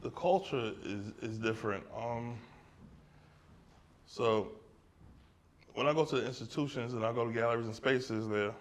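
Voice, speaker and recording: A man's voice: medium pitch, unhurried, long pauses. A man, an artist, answering audience questions at a gallery in a loose, conversational way, often tagging his points with "right?" and "like". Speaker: artist discussing his exhibition.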